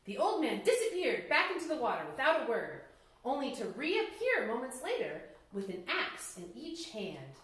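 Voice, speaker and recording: A woman narrating a storybook tale as a children's story time host, very expressive, with playful asides to her listeners. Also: high voice, moderate pace. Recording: very echoey room sound.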